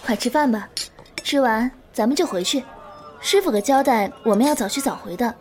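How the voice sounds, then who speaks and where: An actress playing a gentle, patient and doting young swordswoman in a Chinese martial-arts audio drama, speaking softly and with composure. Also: fairly high pitch, normal speed, lightly acted. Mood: fond, patient.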